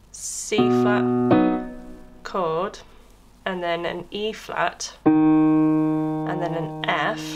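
A woman with a hoarse voice from being under the weather, chattily explaining a piano tutorial step by step at home, recorded on a crackling camera mic. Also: fairly low pitch. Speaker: piano teacher. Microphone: camera microphone with crackle. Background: piano playing.

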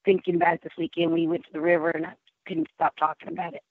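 A woman's voice: lowish voice, flat delivery, fast talker, no echo. A woman from Texas calling in to a show, her voice nearly gone. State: nearly lost voice. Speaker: caller. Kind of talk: phone call-in chat. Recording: tinny phone line.

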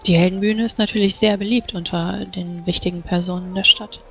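A woman's voice: mid-range voice, fairly even intonation, fairly quick, soft background music. A woman speaking in character in a tabletop roleplay, with formal wording. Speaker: tabletop role-player. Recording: dull, noisy microphone with clicks.

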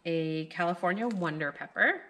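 Chatty low-voiced woman gardening vlogger. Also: expressive, normal speed, fairly bright tone, a little hiss or hum. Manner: rambling and chatty, with many fillers. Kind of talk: casual chat to camera. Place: living room at night, indoors.

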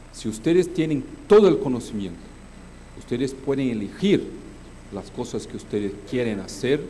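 A man's voice: medium pitch, dark tone, slight background noise, rough recording. Earnest man. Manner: earnest, addresses the audience with questions, frequent hesitations. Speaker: panel speaker. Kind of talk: conference opening remarks. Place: large conference hall, seated at a panel table. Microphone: desk microphone.

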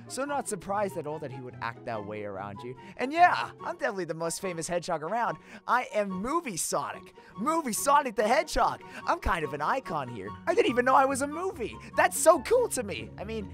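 Cartoon hedgehog hero, young male played by a woman, cocky but easily flustered, very animated stammering delivery, music underneath. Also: fairly high pitch. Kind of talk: fan comic dub voice acting.

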